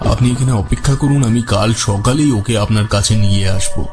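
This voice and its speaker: A man's voice: fairly low pitch, moderate pace, over music, close to an everyday voice. A man playing a wise, composed tantric storyteller, narrating his tale in the first person for an audio drama. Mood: solemn, composed.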